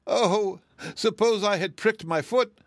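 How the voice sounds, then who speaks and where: A man's voice: high voice, slow pace, dry recording, studio quality, voice pushed up. A man voicing a hysterical, weeping woman in a storybook, sobbing and panicking as she frets over tiny harms. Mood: wailing grief.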